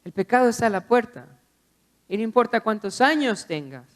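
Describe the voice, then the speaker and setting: A man's voice: high voice, expressive, fairly quick. Guy preaching a sermon, from honduras, urging people on, repeats himself and throws out rhetorical questions. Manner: exhorting, with repetition and rhetorical questions.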